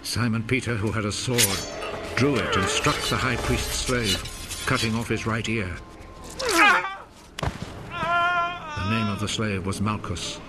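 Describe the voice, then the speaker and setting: A low-pitched male narrator reads scripture for a film, measured and solemn. A full musical score plays clearly behind his voice. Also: big pitch swings, over loud music.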